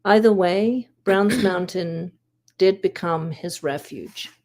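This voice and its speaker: A woman presents prepared research in a measured way, leaving deliberate pauses. Her voice sits fairly low and has a strong gravelly creak. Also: darkish tone. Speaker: volunteer history investigator presenting research.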